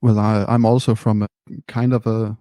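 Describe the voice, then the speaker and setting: A man from Denmark, a band member answering in a relaxed, unhurried way with many fillers. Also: fairly low pitch, dry recording. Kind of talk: casual interview answers.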